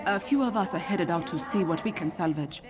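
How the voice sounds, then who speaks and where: An actress as a low-voiced, dutiful royal aide. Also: female voice, a little fast, lightly acted. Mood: composed, respectful. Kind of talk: video game voice acting.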